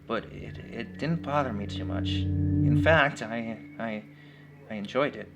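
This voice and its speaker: A man narrating a first-person horror story in a conversational, somewhat halting way. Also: medium pitch, gravelly. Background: loud plucked guitar music.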